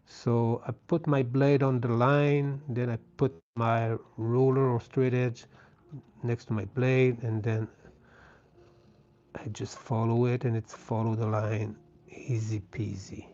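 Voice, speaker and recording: A man with a French Canadian accent, a native French speaker, chatting gently and casually as he hosts a live craft stream. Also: medium pitch, flat delivery, slow pace. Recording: dull, lo-fi sound.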